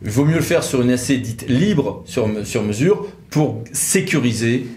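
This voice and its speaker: A man's voice: mid-range voice, expressive, moderate pace, darkish tone. A man giving a conversational legal explainer, didactic, repeating words for emphasis. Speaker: legal expert presenter. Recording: echoey room with light noise.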